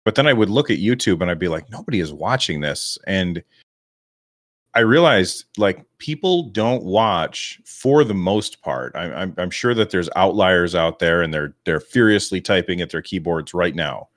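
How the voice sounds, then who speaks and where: A man with a deep radio voice, rambling and self-deprecating as a guest on a podcast interview. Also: expressive, moderate pace, a bit bright, no echo, clean studio sound. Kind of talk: relaxed interview talk.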